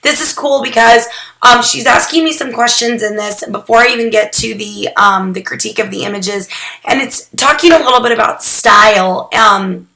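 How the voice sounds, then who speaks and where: A hoarse young woman getting over a cold, talking casually through a photography critique, rambling with lots of "um"s and restarts. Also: fairly high pitch, normal speed, bright, forceful tone, poor audio. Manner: chatty and rambling, with many "um"s and restarts. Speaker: photography mentor critiquing student work. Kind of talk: casual recorded critique addressed to students.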